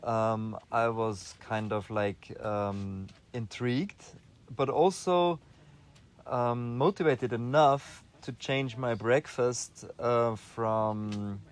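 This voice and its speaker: A young man from Austria answering interview questions in a relaxed, thoughtful way, often restarting his sentences and filling pauses with "um". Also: medium pitch. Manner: thoughtful, many restarts and fillers. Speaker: interview guest.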